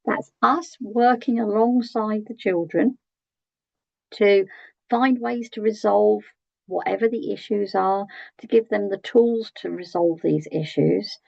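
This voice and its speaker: Croaky British woman giving a training talk. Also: medium pitch, slow pace, darkish tone, no echo, dull audio. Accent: British English. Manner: conversational, asks tag questions, frequent ums. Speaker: early years trainer.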